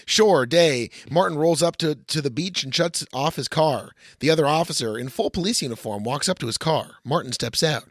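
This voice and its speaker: A man's voice: on the high side, lively intonation, normal speed, hardly any pauses, no echo, studio quality. A man co-hosting a comedy podcast, reading a screenplay and bantering casually with profane, exasperated asides. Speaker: podcast co-host.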